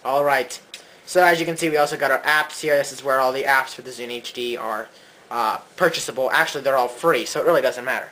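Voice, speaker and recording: A man's voice: medium pitch, quick pace, sharp tone, poor audio. A man giving a casual, hands-on gadget review as a co-host.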